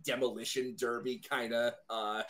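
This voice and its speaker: A man's voice: mid-range voice, little pitch movement, normal speed, fairly bright tone. A man chatting casually as a fan and guest on a podcast.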